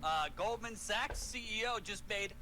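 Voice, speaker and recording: A man's voice: sits high, measured pace, bright, forceful tone, faint music behind. A man delivering an impassioned political rant, emphatic and repeating key words, in a played clip. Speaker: political commentator.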